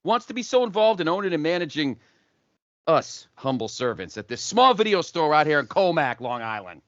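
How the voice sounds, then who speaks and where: Comic audio play: eager male shop clerk acted by a man, high, lively, wisecracking, lo-fi recording. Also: brisk, no room sound. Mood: fawning. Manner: flattering, joking, profane among friends. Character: deferential, wisecracking male video store clerk. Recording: dull lo-fi audio.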